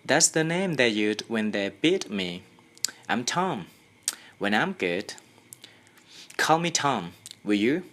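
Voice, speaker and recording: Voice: mid-range voice, sing-song, takes it slowly, long pauses, fairly bright tone. Eager, mischievous schoolboy acted by a student reader. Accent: Thai.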